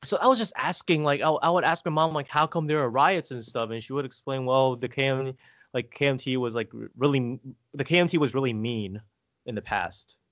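A man telling family stories casually as a podcast guest, halting and rambling, saying "like" often. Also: mid-range voice, a bit bright, dry recording. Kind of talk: casual conversational storytelling.

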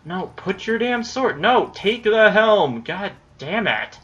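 Chatty young man gaming, bright voice. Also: high voice, big pitch swings, slow, highs cut off. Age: young adult. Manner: rambling, chatty, swears when the game goes wrong. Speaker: gaming YouTuber. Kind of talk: casual commentary over gameplay.

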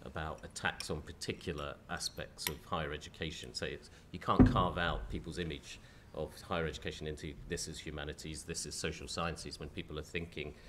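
A man with a cold, chairing a panel discussion in a lecture hall, speaking in a croaky, hesitant, measured way. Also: very deep voice, fairly even intonation, very noisy.

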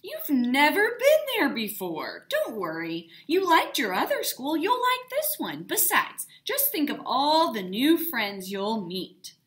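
Lady doing a fussy dad voice, coaxing and sweet, goes up high and swoops around. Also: very animated, measured pace, hardly any pauses, a bit bright, exaggerated voice. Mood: reassuring. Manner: coaxing, affectionate then stern. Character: cheerful fussing middle-aged father.

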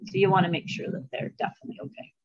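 An older woman beekeeper giving a chatty, anecdotal presentation over slides on a video call, with frequent fillers and asides. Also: very fast. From Canada. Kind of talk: casual informal talk over slides. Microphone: video call webcam. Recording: dull lo-fi video call audio.

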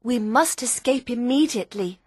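A woman playing a brave young noblewoman in a dramatized storybook, earnest and clearly enunciated. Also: fairly high pitch, animated, normal speed, a little mellow, no room sound, clean studio sound. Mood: anxious. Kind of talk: dramatized audiobook dialogue.

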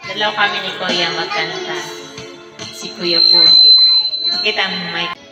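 Echoey, lower-pitched young Filipino woman. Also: steady tone, measured pace. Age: young adult. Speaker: vlogger. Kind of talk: casual vlog demo. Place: small cluttered room at home. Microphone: handheld karaoke microphone with reverb. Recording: echoey karaoke microphone, distant, music behind.

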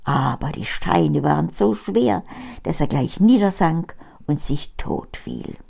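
An elderly woman, reading a fairy tale aloud as an expressive grandmotherly storyteller. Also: deep voice, takes it slowly, creaky, husky. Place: small book-filled living room. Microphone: lapel mic.

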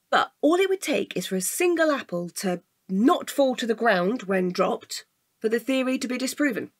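An actress playing a pedantic, logical, wearily patient woman with a precise, deadpan manner in a comedic audio drama. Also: fairly high pitch.

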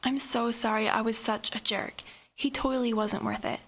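A woman's voice: fairly high pitch, steady tone, normal speed. A woman playing a bubbly, chatty teenage girl in a radio horror drama, with girlish casual delivery.

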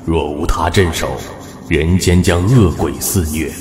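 An aged immortal tower guardian for a fantasy audio drama. He speaks slowly and gravely in a very deep voice, calm and admonishing. Long cavernous reverb surrounds him. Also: crowd noise. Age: older. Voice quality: aged, weighty voice. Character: ancient male immortal guardian of a tower, calm, solemn elder. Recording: cavernous reverberant hall effect.

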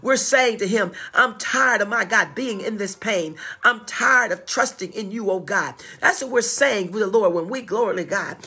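A woman preaching a sermon with fervor, drawing out scripture quotes slowly and often breaking in with "my God" and "glory to God.". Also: mid-range voice, pushed and bright, slightly rough.

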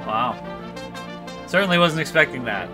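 A young man chimes in with quick agreements and wraps up by inviting viewers to comment. His voice sits at an ordinary mid pitch for a man. A song plays clearly in the background of his desk-mic recording in a small home studio. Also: lively intonation, over music. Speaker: co-host reacting to music. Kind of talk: casual reaction chat with channel outro. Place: small dim home studio room, indoors. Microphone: desk microphone, wearing headphones.

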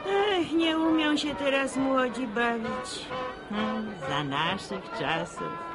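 A woman's voice: high voice, slow pace, over music. An actress playing a grumbling, nostalgic middle-aged village mother in a radio drama, with a sighing manner. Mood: wistful.

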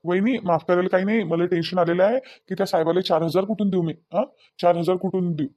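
A man's voice: high voice, steady tone, fast talker, a little mellow. A man playing a hot-tempered, worried village husband with a rural Vidarbha Marathi accent, firing repeated questions in an amateur family drama. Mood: exasperated. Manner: scolding, repetitive rhetorical questions.

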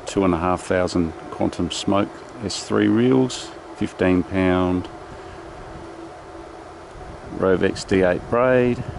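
A man talks through his fishing gear in a casual, matter-of-fact way, his voice deep and slow. There's a gravelly rasp to it, and the body-mounted camera picks up wind and lapping water around him outdoors. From Australia. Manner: laid-back, matter-of-fact gear talk with excited outbursts. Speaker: fishing vlogger. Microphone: body-mounted action camera.

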